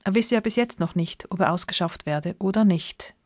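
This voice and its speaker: A woman reporter giving scripted, measured narration for a news feature. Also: medium pitch, darkish tone, no echo, clean studio sound. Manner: measured, descriptive.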